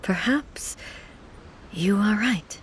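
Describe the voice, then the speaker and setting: An actress playing a calm, regal female ruler in a video game, gentle, formal and measured. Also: mid-range voice, a little hiss or hum. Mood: gentle, reassuring.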